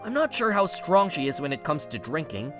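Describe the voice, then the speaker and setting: An exasperated, guarded young man at the office acted by a woman in a manga video dub. The voice sits a little low for a woman and swings widely in pitch as he defends himself. Music plays underneath. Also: sing-song, fairly quick. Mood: guarded, resigned. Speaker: narrator and lead of a manga dub. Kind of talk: dramatized first-person story narration and dialogue.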